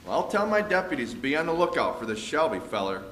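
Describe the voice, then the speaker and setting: A man's voice: fairly high pitch, very animated, fast talker, a bit bright. A man playing a hearty, folksy Old West marshal in a radio play, full of cowboy slang. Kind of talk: old-time Western radio re-enactment. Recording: echoey room with background noise.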